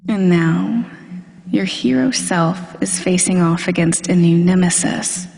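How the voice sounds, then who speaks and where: Low, creaky woman's voice in long reverb. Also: darkish tone. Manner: commanding, teasing, deliberate, suggestive. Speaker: hypnosis audio performer. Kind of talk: slow, intimate hypnosis roleplay monologue. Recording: long reverberant hall-like echo.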